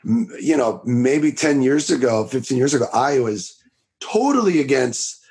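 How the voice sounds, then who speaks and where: A man in his thirties from Florida, a preacher telling his story in a casual interview, with a raspy voice, talking loud and fast with many restarts. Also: mid-range voice, lively intonation. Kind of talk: casual testimony in a podcast interview.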